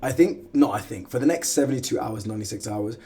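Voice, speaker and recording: A young man from the West Indies, chatting casually in a vlog, animated and self-mocking, swearing and saying "like" a lot. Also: mid-range voice, fast talker. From Guyana and the West Indies. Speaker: vlogger and outreach coach. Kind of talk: casual vlog talk to camera.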